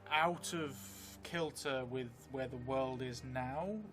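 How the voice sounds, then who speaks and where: A middle-aged man thinking aloud as he plays a solo tabletop game, halting and hesitant, often trailing off mid-thought. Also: mid-range voice. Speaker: solo tabletop role-player streaming a game. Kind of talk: thinking aloud while playing a journaling game. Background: music.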